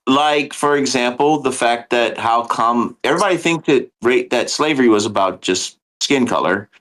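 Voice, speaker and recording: A man who teaches elementary history, explaining in earnest with many pauses and fillers in a casual podcast chat. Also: mid-range voice, a bit bright, low-quality recording.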